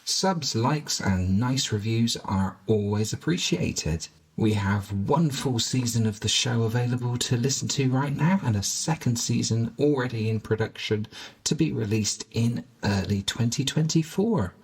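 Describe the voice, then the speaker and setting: A man's voice: medium pitch, a little mellow, slightly rough. A man reading the credits and a friendly outro for an audio drama podcast. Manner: friendly, measured announcing.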